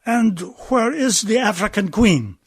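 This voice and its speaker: An actor playing a stern, pompous naval officer in a radio play, questioning in a formal, commanding way. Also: male voice, high-pitched, lively intonation, measured pace, breathy edge, exaggerated voice. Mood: amused, curious.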